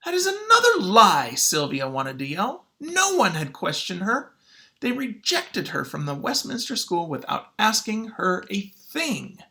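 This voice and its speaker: A man reading a children's book aloud as a teacher, with dramatic, emphatic phrasing and pauses. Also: high voice, big pitch swings, vocal fry, pushed and bright. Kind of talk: expressive children's read-aloud.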